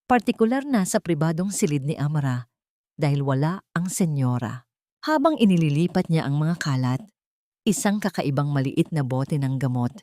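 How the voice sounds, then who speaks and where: A woman narrating a dramatic scripted story in a measured, solemn, melodramatic way. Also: lowish voice, warm tone, no echo, studio quality.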